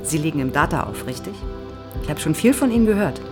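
An actress playing an eager, probing middle-aged research psychologist who explains politely in an audio drama. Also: female voice, deep voice, expressive, fast talker, music in the background. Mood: polite, explaining. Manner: polite, explanatory, keenly interested. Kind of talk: naturalistic audio drama dialogue.

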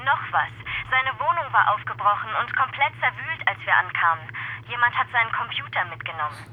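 An actress playing a matter-of-fact police investigator reporting findings over the telephone in a TV crime drama. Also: female voice, fairly high pitch, expressive, a little fast. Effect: telephone filter. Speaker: police colleague reporting by phone. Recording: tinny phone line, faint noise.

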